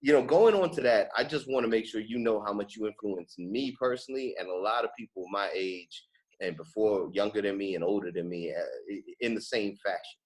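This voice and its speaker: A man's voice: fairly low pitch, fairly quick, a little mellow, low-quality recording. A man from New York in his thirties hosting a podcast, chatting casually and effusively in slangy speech. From New York, United States. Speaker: podcast host. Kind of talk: casual interview chat.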